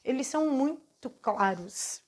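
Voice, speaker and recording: A Brazilian woman in her forties giving an earnest, heartfelt personal faith testimony, speaking haltingly with restarts. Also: high-pitched, lively intonation. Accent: Brazilian Portuguese. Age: 40s. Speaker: channel host giving a testimony.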